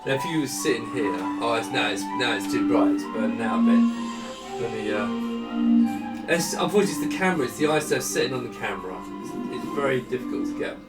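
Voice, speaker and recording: A man with a low-pitched voice presents a product casually and without a script. He often hesitates and talks himself through what he is doing. The sound is roomy, with loud music behind him. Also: brisk. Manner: hesitant, thinking aloud while working. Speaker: presenter demonstrating a monitor product. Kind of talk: unscripted casual product demo. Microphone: camera-side microphone set away from him. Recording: roomy, distant microphone with music. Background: music from demonstrated speakers.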